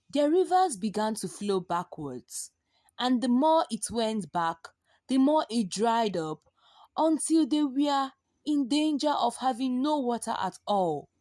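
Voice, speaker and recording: A woman's voice: high voice, darkish tone, no echo, clean studio sound. A woman telling a folktale dramatically and expressively, drawing out and repeating words for effect. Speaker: storyteller.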